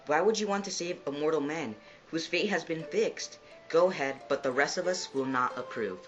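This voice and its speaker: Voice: medium pitch, normal speed, muffled recording, soft background music, lightly acted. A performer playing a bold, eager war goddess in a mythological puppet show. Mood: indignant.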